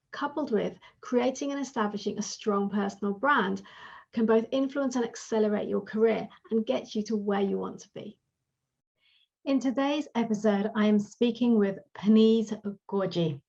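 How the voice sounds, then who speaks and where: A woman's voice: medium pitch, gravelly. A woman hosting a podcast as a career coach, reading a polished upbeat introduction and then interviewing her guest. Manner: polished, upbeat promotional delivery. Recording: dull lo-fi sound.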